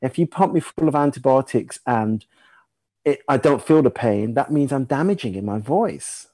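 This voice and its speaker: A middle-aged British man, a singer, telling stories casually as an interview guest in an animated way. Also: mid-range voice, normal speed, dry recording. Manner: animated, acts out sounds and remembered dialogue. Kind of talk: casual conversational storytelling.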